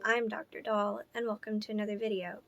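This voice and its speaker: A hoarse woman in her thirties talks casually through an unboxing. Her voice has a gravelly fry, and she fills her sentences with ums, likes and restarts. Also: medium pitch, flat delivery, a little fast, a bit bright, dull audio, soft background music. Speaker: doll collector vlogger.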